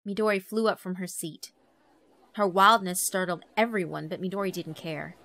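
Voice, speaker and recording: A woman's voice: on the low side, steady tone, moderate pace, bright, forceful tone. A woman narrator reading a horror visual novel as dramatic scripted storytelling.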